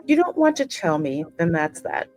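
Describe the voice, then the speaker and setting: Low-pitched woman narrating a game expressively. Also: sing-song, moderate pace, darkish tone. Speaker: gaming channel host. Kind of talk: reading game dialogue aloud with casual reactions. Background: game music.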